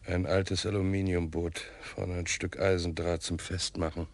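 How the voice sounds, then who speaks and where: A husky, deep-voiced detective. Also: male voice, little pitch movement, normal speed. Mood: plain. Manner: matter-of-fact, muttering to himself. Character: methodical male police detective. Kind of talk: 1980s radio drama acting.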